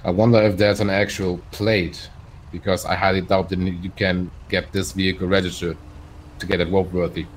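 Low-pitched man, casual police role-play. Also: level tone, normal speed, slight background noise, poor audio.

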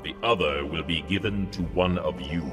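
An actor playing a cold, menacing armoured dark lord in an audio drama, commanding and deliberate. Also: male voice, extremely low pitch. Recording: loud orchestral music behind.

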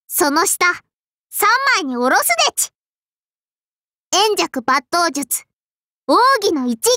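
A little sparrow girl who runs an inn and wields a sword in an anime mobile game. Her voice is extremely high, bright and childlike, with a soft lisp. She speaks politely and primly, strict and dutiful, in a dry, close recording. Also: no room sound, exaggerated voice. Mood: fierce, commanding. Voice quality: childlike, lisping. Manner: polite and prim, with a cutesy verbal tic. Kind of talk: Japanese anime mobile game character voice lines.

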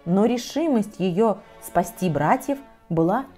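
A middle-aged woman reading a fairy tale aloud to children in a cozy studio, dramatic with wide swings in pitch and loudness. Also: expressive, slow pace, faint music behind. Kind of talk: expressive storybook reading for children. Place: cozy indoor studio set with armchair and fireplace.

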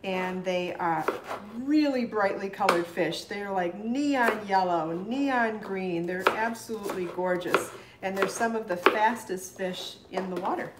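A woman's voice: mid-range voice, unhurried. A middle-aged woman hosting a live cooking demonstration in her home kitchen, chatting and explaining as she chops, recorded by a fixed camera across the counter. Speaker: cooking show host. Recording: echoey kitchen with chopping noise. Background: knife chopping on a cutting board.

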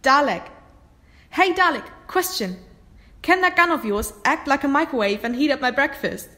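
Flippant young female ghost actress, slightly high pitch, dry teasing delivery for audio drama. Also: animated, moderate pace, pushed and bright. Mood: flippant, amused. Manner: sarcastic, teasing.

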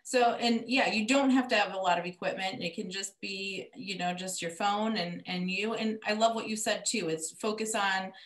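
A woman's voice: medium pitch, moderate pace, hardly any pauses. Woman in her thirties whose first language is Greek, hosting a casual webinar over a video call. She talks in a friendly, rambling way, searching for words, and her voice rings in a roomy, echoey space. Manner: friendly, rambling, lots of fillers. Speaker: webinar host.

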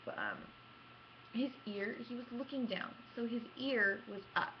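A young adult woman chatting casually to camera as a gaming vlogger, with an animated, self-deprecating manner and dramatic emphasis. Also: fairly high pitch, measured pace. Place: small room at home, indoors. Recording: muffled lo-fi webcam audio, background noise.

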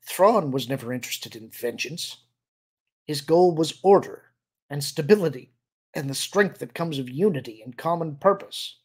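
A man voicing a calm, weary senior military officer in an audiobook, quietly firm and sad, with measured, gentle authority. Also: on the high side, husky, pitched above the performer's own voice.

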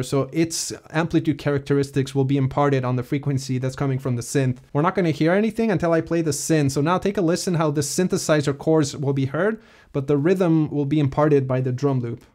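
A man giving a casual, explanatory software walkthrough as a music production tutorial presenter. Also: a little high, fairly quick.

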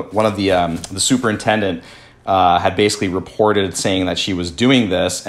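A man from Canada hosting a podcast, reading news articles aloud and commenting in a halting way full of fillers, his voice slightly worn. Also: low-pitched. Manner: halting, many fillers and restarts. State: losing his voice. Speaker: podcast host.

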